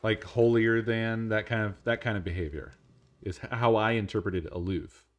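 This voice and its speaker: A man with a deep, monotone voice, talking in an unhurried, casual way while he streams a game.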